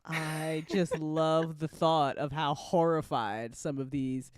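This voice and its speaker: A woman hosting a casual chat about writing, talking in an animated, playful way with plenty of swearing. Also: sits low, slow, no echo, studio quality. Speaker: host of a fantasy audio drama chat show, writer.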